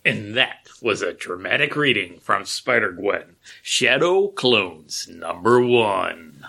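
An animated man hosting a podcast, his voice swinging widely up and down as he tells a story. His tone is bright and forward, with frequent laughs. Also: fairly low pitch, slow, vocal fry. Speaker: podcast host. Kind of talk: casual podcast chat.

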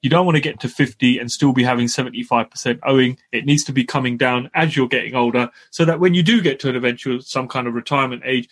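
A man's voice: medium pitch, steady tone, quick pace, few pauses. A man co-hosting a podcast, upbeat and matey in casual chat. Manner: upbeat, calls people mate. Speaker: podcast co-host.

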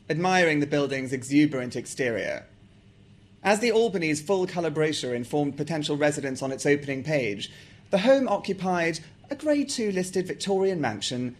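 English-accented guy reading his own novel out loud, really lively up-and-down delivery. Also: fairly high pitch. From South Africa. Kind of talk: author talk and reading aloud.

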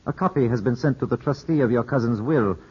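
An actor playing a courteous, evasive Italian lawyer in a formal, measured 1950s radio drama style. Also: male voice, mid-range voice, a little mellow, husky. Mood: grave, composed. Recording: muffled, scratchy 1950s radio broadcast.

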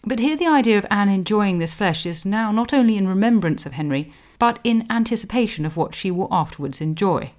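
A woman with a medium-pitched voice presents a history podcast. She delivers scripted explanation like a scholar, articulate and precise, leaning deliberately on key words. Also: lively intonation, a little mellow.

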